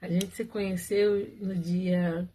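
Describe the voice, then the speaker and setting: A woman's voice: fairly low pitch, little pitch movement, takes it slowly, dark tone, slight background noise, low-quality recording. A woman telling viewers her own love story in a casual, chatty way, often laughing and talking straight to them.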